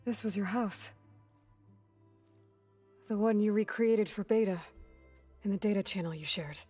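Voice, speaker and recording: An actress playing a guarded, determined young heroine in a video game cutscene, talking in a terse, blunt and distrustful way. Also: female voice, medium pitch, measured pace, dark tone, breathy edge. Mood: wary, accusing. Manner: terse, skeptical, challenging. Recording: background music under the dialogue.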